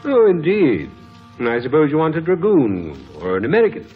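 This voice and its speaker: Courteous manor gentleman, period radio play, lively pitch, muffled sound, faint music underneath. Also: brisk. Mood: polite. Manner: polite, genteel, expressive. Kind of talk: 1940s radio drama acting. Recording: dull old radio broadcast, faint music.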